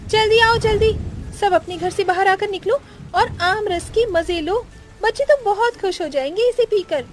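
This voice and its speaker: A woman plays an excitable village woman in a cartoon tale. Her voice is high and full of exclaiming wonder, with music behind. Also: normal speed. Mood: excited. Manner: exclaiming, calling out to neighbours. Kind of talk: Hindi cartoon story acting.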